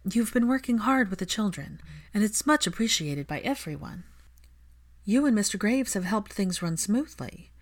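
A woman's voice: mid-range voice, animated, moderate pace, voice pushed up, close to an everyday voice. A woman reading the part of a composed, practical, kind witch in a reassuring, polite way. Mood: appreciative.

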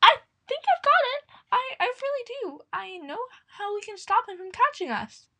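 A woman voicing a small, excited boy mouse who talks in a high, squeaky, eager way. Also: moderate pace, full character voice.

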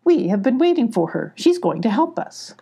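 A woman voicing a brisk, dutiful bee scout in a children's storybook. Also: a little high, big pitch swings, vocal fry, warm tone, slightly rough, pitched above the performer's own voice, exaggerated voice. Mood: eager.

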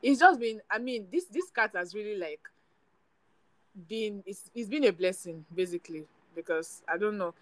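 A woman's voice: mid-range voice, animated, moderate pace, no room sound, poor audio. Woman, young adult, from Nigeria, sweet soothing voice, rambling chat, dry recording. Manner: chatty, rambling, many fillers and restarts. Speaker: podcast co-host. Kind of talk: casual chat.

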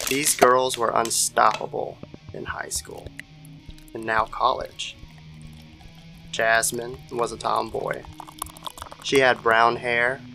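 A man reading a scripted dark story as narrator, in the manner of an old-time radio show. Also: mid-range voice, vocal fry, low-quality recording. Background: soft guitar music.

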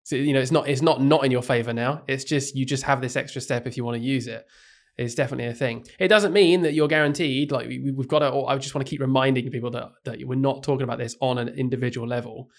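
A man co-hosting a casual podcast, laying out his reasoning in a measured way. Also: medium pitch, steady tone. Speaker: podcast co-host, teacher.

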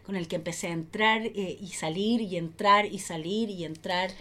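A woman with a Chilean accent chatting casually and bawdily as a comedian guest, playful and animated, often repeating her phrases. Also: on the low side, normal speed, over a noisy crowd. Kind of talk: casual, bawdy chat.

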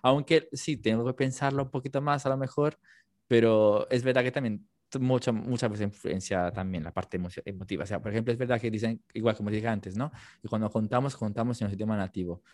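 A young man from Naples, a native Italian speaker and language teacher, talking casually and thinking aloud with many pauses and fillers. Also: fairly low pitch, steady tone, darkish tone, dry recording, studio quality. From Italy, Naples. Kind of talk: casual conversation.

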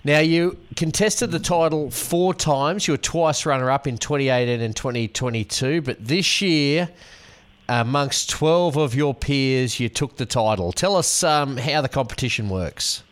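A man who hosts on radio and calls races, interviewing a guest with relaxed, layered questions. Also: mid-range voice, a little slow. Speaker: radio host, race caller.

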